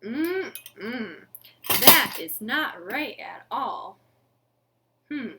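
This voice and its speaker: Animated, high-voiced woman leading children's storytime. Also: very slow, very noisy. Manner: animated, sing-song, addressing young children with exaggerated expression. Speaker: library storytime host. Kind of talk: children's storytime, interactive read-aloud. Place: living room at home.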